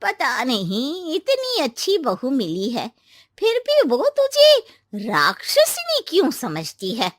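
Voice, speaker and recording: A woman's voice: sits high, huge pitch swings, slow, no echo, studio quality, full character voice. A woman voicing a sly, two-faced gossiping neighbour in a cartoon story, conspiratorial and falsely sympathetic. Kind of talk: cartoon story character acting.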